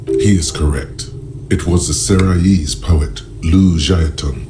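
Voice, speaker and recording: A man playing a brooding, sardonic inner voice that narrates a game in measured, literary phrases. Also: sits low, steady tone, very noisy. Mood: musing. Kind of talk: scripted narration. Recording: music behind the voice.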